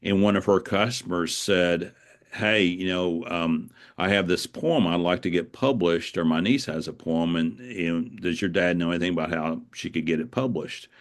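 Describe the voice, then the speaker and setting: A middle-aged man speaking casually as an interview guest, explaining things in a halting way and repeating words. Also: low voice. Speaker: guest, author.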